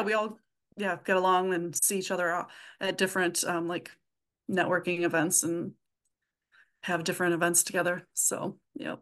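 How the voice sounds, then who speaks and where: Chatty woman with a lower-pitched voice. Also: fairly bright tone. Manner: informal, chatty. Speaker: podcast creator, interview guest. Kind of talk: casual interview chat.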